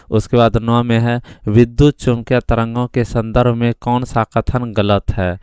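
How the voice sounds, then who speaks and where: A man's voice: medium pitch, clear, resonant tone, no room sound, clean studio sound. A man teaching exam answers question by question, repeatedly asking and then answering himself. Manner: repetitive, asks questions then answers them. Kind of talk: question-by-question answer key explanation.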